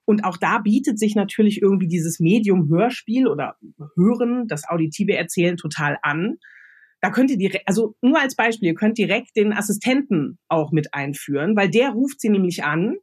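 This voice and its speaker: A woman's voice: medium pitch, lively intonation, moderate pace, darkish tone, husky. A woman with a German accent thinks aloud as a radio play author, giving casual creative advice with examples.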